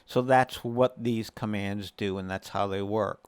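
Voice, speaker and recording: A man's voice: on the low side, fairly even intonation, unhurried, clean tone, dry recording. A man hosting a casual gaming tutorial, explaining things step by step in a conversational way. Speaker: video creator and host.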